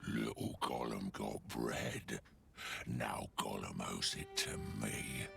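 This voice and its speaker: A man playing a huge menacing orc bully in a video game, with a gravelly, growling, taunting voice. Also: rumbling low, fairly even intonation, music in the background, exaggerated voice. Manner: slow, taunting. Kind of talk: video game villain acting.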